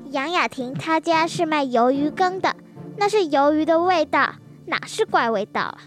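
A high, very animated child's voice of a girl acting plays an indignant, outspoken schoolgirl in a radio play, with faint music behind. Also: normal speed. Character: indignant schoolgirl defending her friend.

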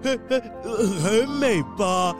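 A man's voice: high-pitched, slow pace. A man voicing a nervous male pangolin factory worker who stutters constantly, in a children's radio drama. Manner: stutters constantly, many fillers. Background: background music.

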